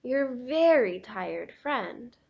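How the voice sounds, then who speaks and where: A woman voicing an exhausted, exasperated gray crayon who complains loudly and dramatically in a storybook read-aloud. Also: a little high, sing-song, extremely slow, creaky, dull audio, heavily acted. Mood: exasperated, weary.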